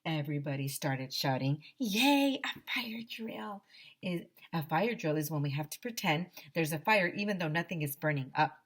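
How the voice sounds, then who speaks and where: A woman teacher reading a children's story aloud in an expressive, dramatic way, speaking to young listeners. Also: low-pitched, a little fast, few pauses.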